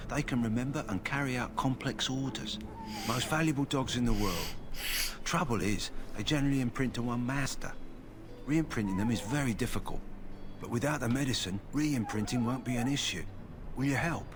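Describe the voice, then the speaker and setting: An actor playing a practical middle-aged kennel master in a fantasy video game, talking in a plain, matter-of-fact way. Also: male voice, mid-range voice, a little fast, close to an everyday voice. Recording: game audio with clear background noise.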